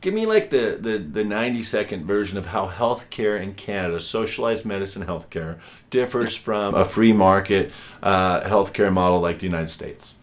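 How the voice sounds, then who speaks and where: This is a man in his forties with a low-pitched voice, hosting a podcast interview. He talks in a chatty, rambling way and keeps dropping in fillers as he works his way toward his questions. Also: expressive, normal speed. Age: 40s. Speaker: podcast host.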